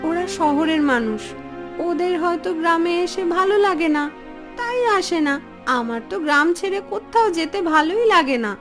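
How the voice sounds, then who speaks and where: A woman voicing a caring, nagging younger village woman in a cartoon, with brisk, matter-of-fact, chiding speech. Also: extremely high pitch, animated, over music. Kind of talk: cartoon story dialogue.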